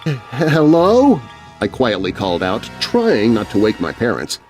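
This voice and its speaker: A man narrating a scary children's story in an expressive, suspenseful, scripted style. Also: lowish voice, moderate pace, faint music behind. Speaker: narrator, voice artist.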